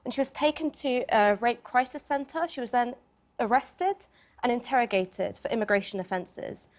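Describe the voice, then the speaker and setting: Earnest, higher-voiced woman debating. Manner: earnest, deliberate argument. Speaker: panel guest. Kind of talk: studio debate.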